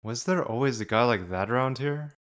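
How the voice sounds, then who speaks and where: A man's voice: medium pitch, expressive, a little fast, close to an everyday voice. A man voicing a laid-back, handsome teenage upperclassman in a manga dub, casual and blunt. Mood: casual, surprised. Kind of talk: manga fandub acting.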